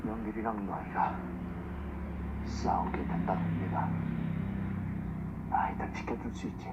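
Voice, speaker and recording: Very fast, low-voiced hardened man, muffled and noisy. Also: expressive, gravelly. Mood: grave. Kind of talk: Korean crime drama screen acting. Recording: muffled playback with loud background noise.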